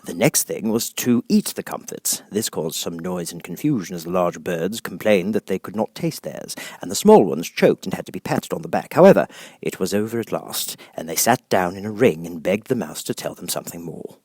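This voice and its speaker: A man's voice: low voice, expressive, moderate pace, darkish tone, no room sound, studio quality. A man reading scripted storybook narration as an audiobook narrator.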